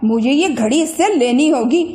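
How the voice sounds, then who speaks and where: A sly young boy acted by a woman from a children's tale, envious and always scheming. The voice is high, with a defensive edge, recorded with audible echo. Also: animated, normal speed, warm tone. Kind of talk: children's moral story character voice. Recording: roomy echo.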